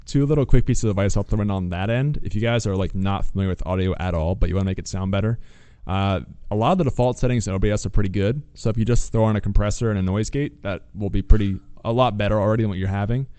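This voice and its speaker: A man with a low voice hosting a podcast and giving listeners practical advice. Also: fast, few pauses, dark tone, clean tone, no echo. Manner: advising, moderating questions. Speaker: podcast host. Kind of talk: casual podcast chat.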